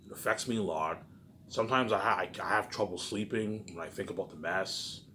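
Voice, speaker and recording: Casting: male vlogger, low voice, loose repetitive monologue with emphatic bursts and muttered endings, some background noise. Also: brisk, sharp tone. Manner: frequent swearing. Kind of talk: rambling casual monologue. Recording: clearly noisy background.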